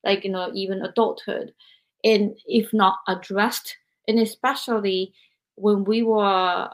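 A woman's voice: mid-range voice, flat delivery, a little slow. A woman in her forties from Japan hosts an interview in a mid-range voice. She thinks aloud as she goes, leaning on "like" and "you know" as she shapes her questions and reflections. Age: 40s. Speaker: podcast host. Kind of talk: interview conversation.

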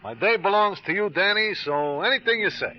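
An actor in a 1950s radio crime drama playing a boozy, rueful ex-husband suspected of murder, needling and slangy. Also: male voice, high voice, expressive, brisk, noisy background. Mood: mocking, compliant. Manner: sardonic, slangy, over-obliging. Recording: dull lo-fi old radio recording.

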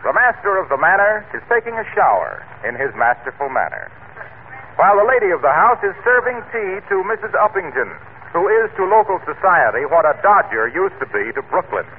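A man's voice: high voice, very animated, fairly quick. Old timey radio announcer guy, formal and polished, tinny band with faint hiss. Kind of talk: 1940s radio announcing, scripted narration and sponsor reads.

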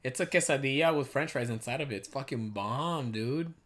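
A man's voice: mid-range voice, fairly quick, a bit bright. A man hosting a casual podcast, teasing his co-host with profane banter.

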